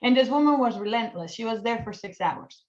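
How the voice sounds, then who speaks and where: Colombian woman telling a lively story. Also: a little high, fairly quick, muffled recording. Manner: animated, self-mocking, laughs at her own slips. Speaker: guest. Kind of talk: storytelling in a casual online chat.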